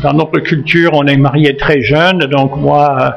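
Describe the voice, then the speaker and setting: A man in his seventies from Massachusetts with an American accent, a retired missionary pastor speaking French with many fillers and restarts and gentle jokes. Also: on the high side, steady tone, gravelly. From United States, Massachusetts. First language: English. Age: 70s. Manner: halting, frequent fillers and restarts, gently joking. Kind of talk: church talk introducing himself.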